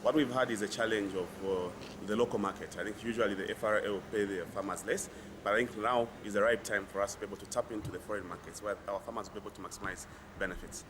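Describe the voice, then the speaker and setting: A man's voice: lowish voice, fairly even intonation, fast. A man with a Zambian accent giving an interview comment as a policy researcher. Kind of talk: spoken news interview comment. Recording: clear outdoor urban background noise.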